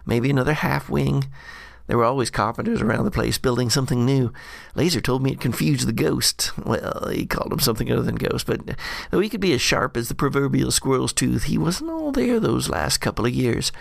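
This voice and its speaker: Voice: medium pitch, animated, a little fast, vocal fry, hardly any pauses, dry recording, studio quality. A performer voicing a scrappy, slangy adventurer who mutters and curses through a jittery diary monologue. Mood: cheeky, chatty. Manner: slangy, cursing, self-interrupting monologue. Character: scrappy slangy jittery adventurer Skeen recounting a bad day. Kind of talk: in-character audio diary monologue.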